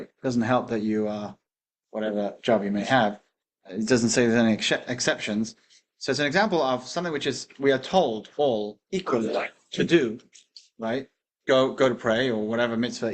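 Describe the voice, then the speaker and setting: A man, a rabbi teaching a class, affirming students and steering the discussion. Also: medium pitch. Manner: affirming, guiding the discussion back on track.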